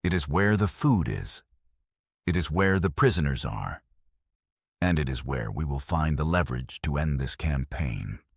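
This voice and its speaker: Storybook role: man playing a cold, calculating general, extremely deep with a rough edge, clipped orders. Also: lively intonation, a little slow, no room sound, studio quality, full character voice. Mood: firm, commanding. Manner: terse, commanding, analytical. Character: stern, calculating male military commander Napoleon.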